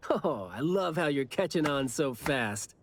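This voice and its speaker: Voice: medium pitch, expressive, a little slow, a little hiss or hum. Breezy, teasing, swooping young slacker. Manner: playful, teasing, sing-song. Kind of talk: anime video game voice acting.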